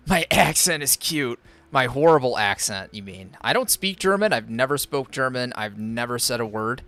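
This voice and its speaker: Bright-voiced male streamer chatting loosely. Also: medium pitch, sing-song, normal speed, dry recording, soft background music. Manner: rambling, loose, many fillers and restarts; answers viewer chat between gameplay. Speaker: streamer reviewing viewer game accounts. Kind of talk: casual live-stream chat while playing.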